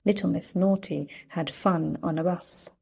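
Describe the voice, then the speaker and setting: A woman reading a children's story aloud in a playful, deliberate storytelling manner. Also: lowish voice, steady tone, gravelly, darkish tone, a little hiss or hum. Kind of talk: read-aloud storybook narration.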